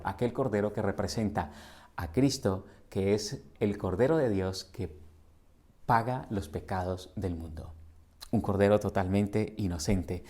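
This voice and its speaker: A man's voice: mid-range voice, mellow. A middle-aged man from Colombia with a Colombian Spanish accent, preaching a sermon in a church in an earnest, questioning way that builds to emphatic repetitions. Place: church sanctuary.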